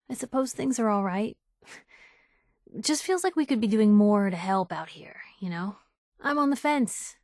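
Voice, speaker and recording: A woman's voice: on the high side, no echo, clean studio sound. An actress voicing a plucky, principled young woman reporter, a video game companion with sassy, earnest, slangy banter.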